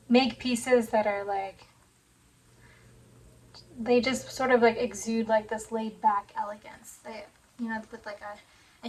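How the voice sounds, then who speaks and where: Hoarse woman, high flat voice. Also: far from the mic, rough recording. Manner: soft-spoken, rambling, many "like" and "um" fillers. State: hoarse, voice still recovering from laryngitis. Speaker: sewing vlogger. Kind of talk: casual chat to camera. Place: home sewing room. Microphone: phone camera.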